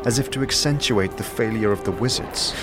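A man narrating a scripted fantasy audio drama in a measured storytelling manner, also speaking as its protagonist. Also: on the low side, creaky. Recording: music under the voice.

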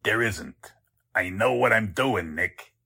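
A man's voice: on the low side, expressive, slow pace, pushed and bright, exaggerated voice. An actor playing a scheming, pompous con man who poses as a count, in 1940s radio drama style. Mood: menacing, determined. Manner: suave affected courtesy switching to gruff bossiness.

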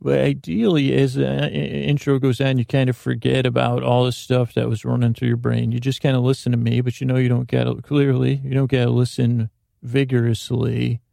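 Gravelly man rambling softly. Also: medium pitch, little pitch movement, fairly quick, dry recording, studio quality. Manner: rambling, self-interrupting, botches words on purpose. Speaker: sleep podcast host. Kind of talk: meandering bedtime monologue.

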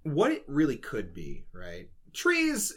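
A man with a mid-pitched, very animated voice co-hosts a podcast. His delivery is casual and comedic, playful and inclined to ramble. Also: slow, husky. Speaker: podcast co-host.

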